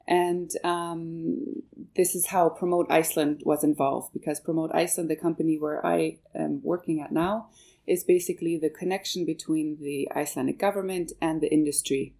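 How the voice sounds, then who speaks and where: Low, gravelly woman guest with many fillers. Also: fairly even intonation, moderate pace, dark tone. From Canada. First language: German. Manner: hesitant, with many fillers and restarts. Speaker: guest, manager of a marketing project. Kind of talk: interview answers.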